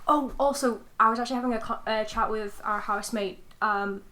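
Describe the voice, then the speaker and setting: A woman with a fairly high, bright and forward voice talks as a podcast co-host. Her delivery is casual, conversational chat. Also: a little fast, a little hiss or hum.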